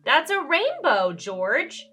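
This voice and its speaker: Woman reading as an eager high-pitched storybook girl. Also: huge pitch swings, takes it slowly, pushed and bright, faint music behind, full character voice. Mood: eager, proud. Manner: eager, proud. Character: excited, knowledgeable girl explaining rainbows to a friend. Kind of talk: children's storybook character voice.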